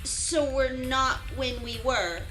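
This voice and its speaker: A woman's voice: high-pitched, slow pace, bright, forceful tone, soft background music, full character voice. A woman playing an earnest young newcomer to a spaceship crew in an audio drama, inquisitive and caring. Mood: puzzled.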